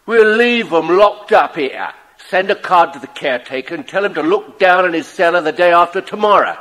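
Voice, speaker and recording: A man playing a spiteful, bossy horse thief in a children's audio drama, rough and menacing in manner. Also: high voice, animated, normal speed, a bit bright, poor audio. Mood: spiteful, threatening.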